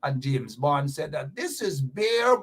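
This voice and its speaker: A man's voice: on the high side, very animated, takes it slowly, a bit bright, husky. A Guyanese man hosting a radio talk show, delivering fiery, sarcastic political commentary with mocking repetition.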